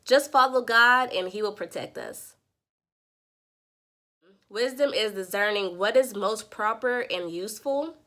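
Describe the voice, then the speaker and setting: A woman's voice: medium pitch, a little slow, pauses often, a bit bright. A woman who sounds congested from a sinus infection, earnestly summarizing scripture in a devotional vlog. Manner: earnest, preacherly teaching. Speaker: Bible study vlogger.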